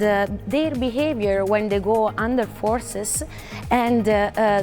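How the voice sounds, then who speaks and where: A woman's voice: fairly high pitch, a little mellow. A woman from Italy, a doctoral researcher, giving careful recorded interview answers with some fillers. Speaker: PhD researcher.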